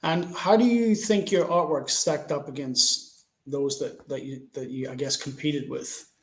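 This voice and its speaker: A man's voice: fairly high pitch, fairly even intonation, unhurried. A man interviewing a guest, with reflective, tentative phrasing. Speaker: podcast host, interviewer. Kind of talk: conversational interview. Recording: echoey, roomy sound.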